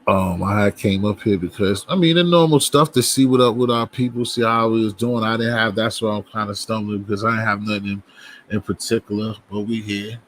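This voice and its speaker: A man's voice: fairly low pitch, steady tone, a little fast, fairly bright tone. A man from Boston with a Boston accent talks to his viewers on a casual livestream. His speech rambles and restarts as he greets people and shoots the breeze. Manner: loose, rambling talk to viewers, frequent restarts. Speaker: livestream host.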